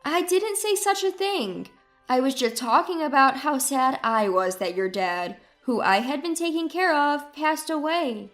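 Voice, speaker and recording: An outspoken, high-voiced daughter-in-law, as a female narrator plays her, wronged and standing her ground. She argues earnestly in a family story, with faint music behind. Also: lively intonation, a little slow, fairly bright tone. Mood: defensive. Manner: earnest, argumentative.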